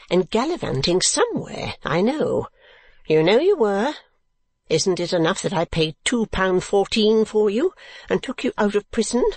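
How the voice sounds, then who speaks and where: A narrator voicing a furious, scolding wife in a shrill voice for an audiobook. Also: no room sound. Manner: screaming, scolding, accusing. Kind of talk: audiobook character acting.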